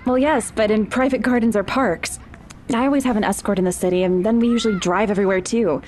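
A young woman playing a sheltered, curious rich teenage girl who speaks softly and hesitantly in an audio drama. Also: medium pitch, fairly even intonation, fast talker, a little hiss or hum, lightly acted.

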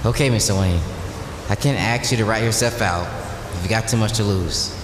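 A man's voice: lowish voice, normal speed, reverberant, in a large hall, background noise, lightly acted. A man playing a cocky, streetwise young vigilante in an audio drama, talking in a casual, teasing way. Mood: earnest, wry.

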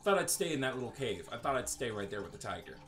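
A man's voice: mid-range voice, big pitch swings, quick pace, a bit bright, a little hiss or hum. A man doing casual, rambling gameplay commentary as host of a gaming video, with a sarcastic, joking manner.

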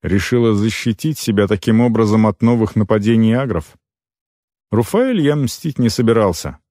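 A man reading a first-person fantasy novel aloud as an audiobook narrator, with expressive storytelling. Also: on the low side, moderate pace, no room sound, studio quality.

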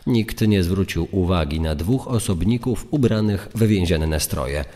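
A man's voice: low-pitched, animated, unhurried, warm tone. A man reading scripted true-crime narration as a narrator. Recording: long hall reverb. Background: music.

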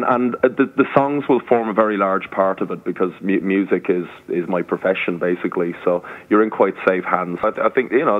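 Irish-accented man on a tinny line. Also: on the low side. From England, raised partly in Ireland. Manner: hesitant, repeats words when starting sentences. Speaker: musician guest. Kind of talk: radio interview. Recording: tinny phone-line radio sound.

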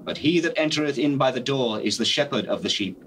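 An actor playing a solemn, authoritative holy teacher in dramatized scripture, declaiming in measured phrases with deliberate pauses. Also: male voice, medium pitch, lively intonation, a bit bright, natural voice. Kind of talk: dramatized scripture, classical stage delivery. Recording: faintly noisy old cassette.

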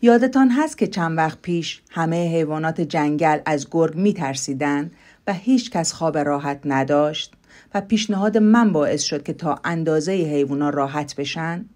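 A clever little rabbit from a storybook, played by a woman in a lowish female voice. He speaks in an earnest, deliberate way, always trying to persuade. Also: animated, a little mellow. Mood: earnest, persuasive. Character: clever, persuasive male rabbit of a fairy tale.

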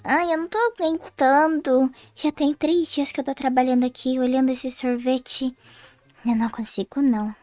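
A woman's voice: high-pitched, fairly even intonation, normal speed, darkish tone, close to an everyday voice. A woman voicing a naive girl who has suddenly become a young adult woman, chatty and thinking aloud with whiny sighs in a roleplay game story. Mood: weary. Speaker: roleplay player voicing Diana.

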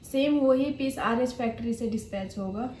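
A high-voiced woman runs through clothing pieces in an even sales patter, calling out to viewers again and again. Her voice rings in a roomy, echoey shop with a faint hum of ambience behind it. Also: normal speed. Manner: steady promotional patter addressing viewers. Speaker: shop presenter. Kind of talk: sales pitch showing suits.

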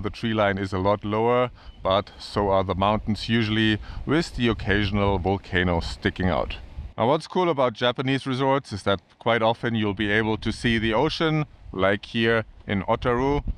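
A man's voice: fairly low pitch, a bit bright, smooth. A man in his thirties presenting casually to camera on snowy mountain slopes, upbeat and chatty. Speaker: ski vlogger.

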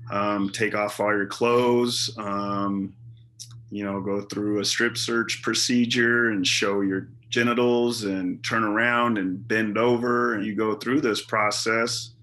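A man in his forties with a fairly low, bright and tense voice. He speaks in a steady, flat line with little lift, measured and deliberate, over a webcam in a small room. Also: a little hiss or hum. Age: 40s. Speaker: formerly incarcerated interviewee. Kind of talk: testimony on a video call. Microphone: webcam on a video call.

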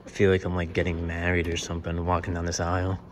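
A man's voice: low-pitched, monotone, fast, noisy background. A young man exploring an abandoned church, talking casually to his camera with lots of fillers. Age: young adult. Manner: laid-back, rambling, lots of fillers like "um" and "you know". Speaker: urban explorer vlogger. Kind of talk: casual vlog walkthrough. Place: large abandoned church, indoors. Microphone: handheld camera, on the move.

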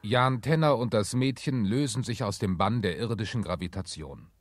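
A man's voice: lowish voice, normal speed, faint music behind, lightly acted. A man narrating an adventure radio play as its scripted storyteller. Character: storyteller of an adventure audio drama.

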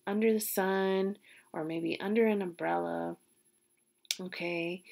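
Woman, middle-aged, elementary teacher; unhurried, soothing delivery, low and a bit gravelly, home webcam recording. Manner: slow, soothing, with frequent "okay?" check-ins. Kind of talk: guided visualization for young students. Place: small bedroom at home, indoors. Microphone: laptop webcam mic.

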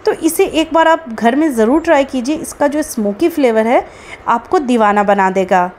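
A woman's voice: sits high, animated, moderate pace, darkish tone, a little hiss or hum. A woman narrating a recipe step by step, explaining each stage to viewers as a cooking host. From India. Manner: explanatory, addresses viewers as friends.

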